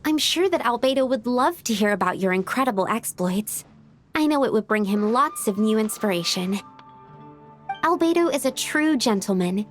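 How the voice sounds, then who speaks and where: A woman voicing a shy, polite, bookish young alchemist in a video game, speaking softly and courteously with some hesitation. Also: on the high side, lively intonation, moderate pace, soft background music, natural voice. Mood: concerned, apologetic. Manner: soft-spoken, hesitant, courteous. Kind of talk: video game character acting.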